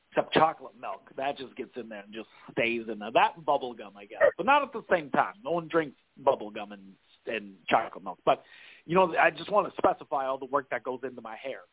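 A man playing a sweaty, self-important showbiz consultant who rambles through restarts and garbled sayings. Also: on the high side. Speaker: guest. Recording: tinny phone-like line.

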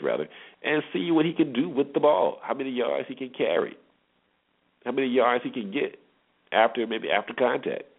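A man hosting a solo sports show, giving informal commentary and repeating phrases for emphasis. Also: on the low side. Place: solo internet radio show. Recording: muffled phone-like internet radio audio.

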